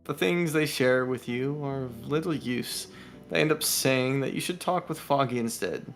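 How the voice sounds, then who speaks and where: A man with a creaky voice reading a game story aloud in a casual, playful way, with bits of banter. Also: mid-range voice, normal speed. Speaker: co-streamer reading a visual novel aloud. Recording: music behind the voice.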